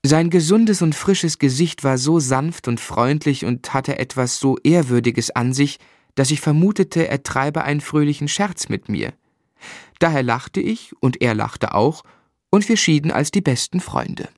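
An actor playing a reflective storyteller who recalls his childhood, in measured literary narration for a radio drama. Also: on the high side, animated, dry recording, clean studio sound.

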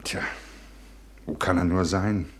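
A man's voice: low-pitched, fairly even intonation, takes it slowly, a little hiss or hum. A man playing an irritable, suspicious businessman husband in a radio play, brusque and needling. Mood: suspicious, impatient. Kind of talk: German radio play acting.

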